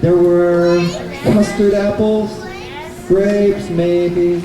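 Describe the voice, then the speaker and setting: A man's voice: sits high, little pitch movement, drawn-out words, a crowd in the background. A man telling a puppet-show story to children in simple, repeated phrases, often putting questions to his listeners. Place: bookstore event space. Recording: very echoey hall, distant mic, noisy.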